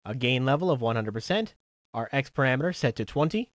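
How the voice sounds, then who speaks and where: Guy doing a tutorial voiceover, mid pitch, methodical, really dry close mic. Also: animated, fast talker, no echo. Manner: methodical, instructional. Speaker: tutorial presenter. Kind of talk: scripted software tutorial narration.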